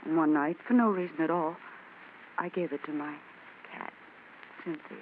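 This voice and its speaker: A woman's voice: low voice, normal speed, close to an everyday voice. A woman playing an anxious young client. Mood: frightened. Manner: earnest, anxious. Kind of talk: 1940s radio acting. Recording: tinny old radio recording, faint hiss.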